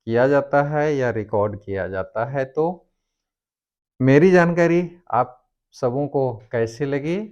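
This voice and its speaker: Slow-paced man explaining law, dull recording. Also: mid-range voice, a little mellow. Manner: formal, deliberate, halting in short phrases. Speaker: presenter of a legal explainer.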